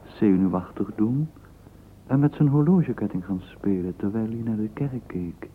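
An actor narrating a literary radio play as a measured, lyrical male storyteller. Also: fairly low pitch, lightly acted. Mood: wry, measured. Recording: muffled, noisy old radio play recording.

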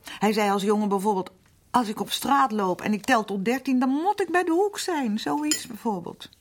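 A woman playing a worn-down older working-class housewife and mother in a Dutch radio drama, rambling on in a plaintive, confiding way with a husky voice. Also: on the high side, animated, normal speed. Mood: reminiscing, aggrieved.

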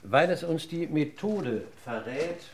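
A man acting a police inspector in a German radio crime drama, questioning in a deliberate, courteous and insistent way. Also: on the high side, animated, slight background noise. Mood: explaining, assured. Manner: deliberate, courteous interrogator's delivery. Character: male police inspector, probing, methodical, politely insistent.

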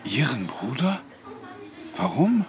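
An actor playing a hesitant, stammering retired widower caught up in a radio crime drama. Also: male voice, medium pitch, sing-song, slow pace. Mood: urgent, nervous. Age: older. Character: retired widower, smitten, hesitant amateur sleuth.

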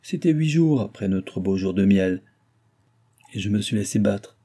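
A man reading aloud and voicing a jealous, sharp-tongued young maid who mocks and scolds. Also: fairly low pitch, animated, moderate pace. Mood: mocking. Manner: mocking, scornful.

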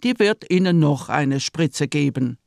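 An actress playing a brisk, condescending nurse in a radio crime drama. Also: female voice, low voice, expressive, creaky, a little mellow, no room sound, studio quality, full character voice. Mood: brisk, patronising. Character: brisk, patronising, stout female nurse.

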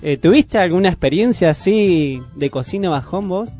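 A man's voice: high voice, expressive, normal speed, a little mellow, faint music behind. A man with an Argentine accent from the Buenos Aires area, chatting casually as a radio co-host. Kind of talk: casual chat. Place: radio studio.

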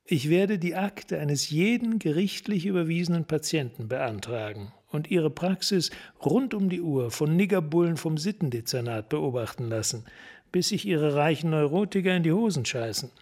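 Bullying, cynical cop for radio crime drama; fairly high pitch, clipped blunt delivery. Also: male voice, lively intonation, moderate pace, a little mellow. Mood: menacing. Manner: blunt, terse, hard-boiled. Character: tough, bullying, cynical male American police detective.

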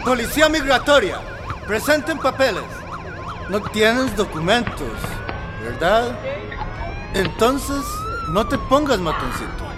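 A high-pitched, barking immigration cop. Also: male voice, wildly swooping pitch, slow pace, heavily acted. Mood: menacing. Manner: barking, threatening commands. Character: aggressive, menacing male immigration police officer. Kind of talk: radio drama acting. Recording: loud noise and sirens behind.

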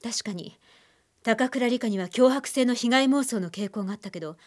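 An actress playing a composed, shrewd criminal profiler and psychiatrist in a radio drama, speaking in a cool, analytical way. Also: female voice, fairly high pitch, normal speed, lightly acted. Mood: cool, instructive.

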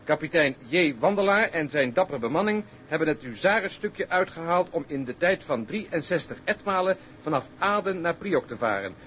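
An eager, proud young sailor. His voice is fairly high and very lively, declaiming, with faint noise around it. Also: normal speed, few pauses. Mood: proud, excited. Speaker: actor in an audio drama. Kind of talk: declaimed reading aloud in drama. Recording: background ambient noise.

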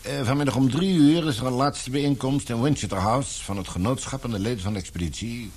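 A man acting in a Dutch radio play as a businesslike, fatherly middle-aged employer with a measured, authoritative manner. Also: mid-range voice, a little mellow, breathy edge. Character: businesslike, fatherly older male employer, concerned for daughter. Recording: hissy radio play recording.

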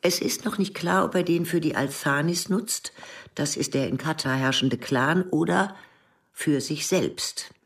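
Very low-voiced older woman as spy chief. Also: measured pace, vocal fry, distant mic. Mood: controlled, matter-of-fact. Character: motherly, educated intelligence chief in her early sixties, coolly persuasive. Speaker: actor in radio play. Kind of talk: German radio drama dialogue.

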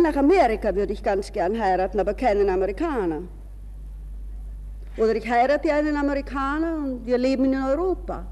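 An actress plays a restless young lady in a radio monologue. Her voice is fairly high and very mellow, musing and self-questioning with an ironic edge. A long reverberation surrounds it. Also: natural voice. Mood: dreamy. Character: restless, ironic, dreamy young society woman on holiday. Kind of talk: radio drama interior monologue.

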